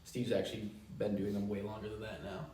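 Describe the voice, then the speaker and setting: A man's voice: lowish voice, flat delivery, a little fast, mellow. A man speaking casually as a co-presenter in a promotional question-and-answer talk for a company. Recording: echoey room, distant mic, faint noise.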